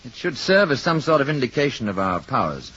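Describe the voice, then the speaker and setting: Calm stern alien man, measured and terse, old muffled radio show. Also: mid-range voice, husky. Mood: calm, reserved. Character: calm, grave, superior alien visitor in human form. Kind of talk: old-time radio drama acting.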